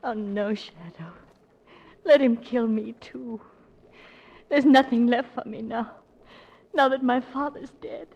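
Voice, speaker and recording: High, breathy, despairing captive. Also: female voice, slow, pauses often, muffled recording, heavily acted. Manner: pleading, tremulous melodrama. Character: despairing captive young woman, grieving her father. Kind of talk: 1940s radio melodrama acting. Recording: old 1940s radio broadcast.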